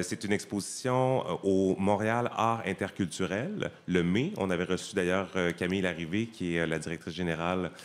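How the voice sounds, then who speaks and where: Low-voiced male talk show host. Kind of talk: casual talk show interviewing.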